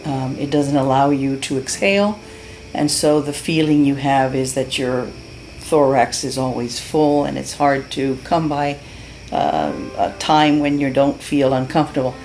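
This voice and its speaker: A woman's voice: extremely low pitch, a little slow, darkish tone, faint music behind. A middle-aged woman from Cuba giving an earnest, heartfelt testimonial as a patient, with many fillers and restarts. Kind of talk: unscripted testimonial.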